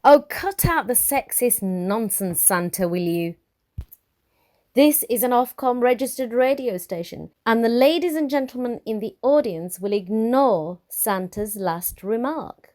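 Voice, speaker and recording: A woman's voice: fairly high pitch, animated, a little slow, a little mellow, no echo, clean studio sound. A woman playing a sarcastic, exasperated workshop supervisor in a comic radio play. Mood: scolding. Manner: sarcastic, scolding.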